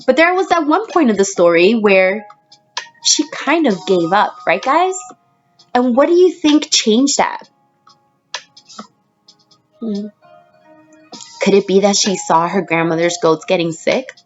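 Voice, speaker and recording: A woman with a medium-pitched voice reads aloud as a schoolteacher would to young children. She pauses to explain and puts questions straight to her listeners. The sound is a little muffled, with soft music underneath. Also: expressive. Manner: addresses children directly, explaining words and asking questions. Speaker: elementary school teacher reading aloud to students.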